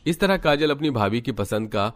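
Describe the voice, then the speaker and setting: A man's voice: medium pitch, lively intonation, moderate pace, slight background noise. A man reading scripted narration for a cartoon story as the storyteller.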